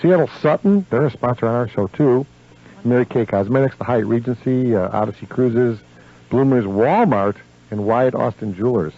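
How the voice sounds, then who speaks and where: A man's voice: medium pitch, normal speed. A man hosting a live local radio show, chatting with effusive exclamations of praise. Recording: dull lo-fi AM radio recording.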